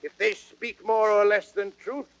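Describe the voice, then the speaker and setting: An actor playing a blustering, boastful fat old rogue knight in old radio Shakespeare style, with declamatory, exaggerated delivery. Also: male voice, high voice, flat delivery, a little slow, creaky, a bit bright, heavily acted, poor audio.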